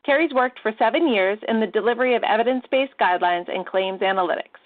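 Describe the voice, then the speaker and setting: Woman hosting a webinar, slightly higher voice, polite and a bit hesitant, filler words, thin phone-like audio. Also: quick pace. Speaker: webinar host. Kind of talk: webinar introduction. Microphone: web call audio.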